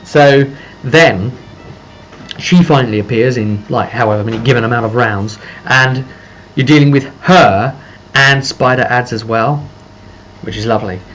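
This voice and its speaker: A man's voice: mid-range voice, normal speed, fairly bright tone, faint music behind. A man speaking casually and unscripted as a game master recounting a tabletop session, rambling as he pieces the story together. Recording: dull lo-fi microphone with faint noise.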